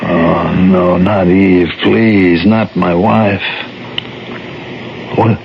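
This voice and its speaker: An actor playing an anxious, devoted older husband in a 1970s radio drama, speaking in a halting, earnest way. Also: male voice, deep voice, flat delivery, creaky, exaggerated voice. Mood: fearful, pleading. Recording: muffled, noisy old radio broadcast.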